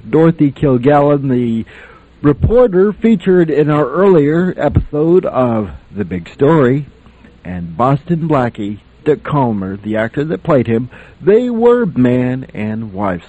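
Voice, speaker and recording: A man's voice: medium pitch, mellow. A man hosting a radio show in an informal, conversational style. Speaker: host. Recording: dull lo-fi sound.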